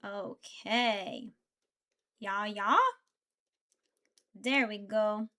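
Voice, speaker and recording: A woman's voice: medium pitch, fairly even intonation, very slow, sharp tone. A young woman streamer chatting casually while building Lego, thinking aloud and answering her chat. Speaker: VTuber streamer.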